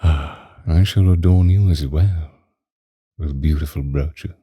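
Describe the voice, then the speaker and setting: Man voicing a very deep, husky ancient vampire lover. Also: dark tone, no echo, studio quality, full character voice. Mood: courtly, doting. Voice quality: breathy, husky. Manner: slow, theatrical, formal old-fashioned diction with lingering pauses. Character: ancient male vampire lord, courtly, devoted, possessive lover. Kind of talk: romantic ASMR roleplay, whispered and intimate.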